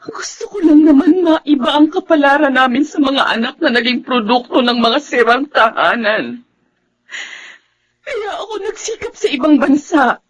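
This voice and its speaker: A woman's voice: high voice, animated, moderate pace, lo-fi sound. An actress playing a desperate, tearful, intense woman in a Tagalog radio melodrama. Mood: bitter anguish. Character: desperate, emotional woman in anguished family drama.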